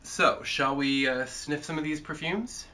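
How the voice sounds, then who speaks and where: A man's voice: on the high side, a little slow, heavy background noise. A man hosting a casual chat, congested from a cold, rambling and joking with lots of filler sounds. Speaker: host.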